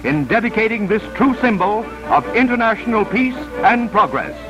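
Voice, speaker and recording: Male 1930s newsreel narrator, high register, dramatic scripted delivery, vintage film sound with background music. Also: very animated, moderate pace, lo-fi sound. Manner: dramatic, solemn declamation.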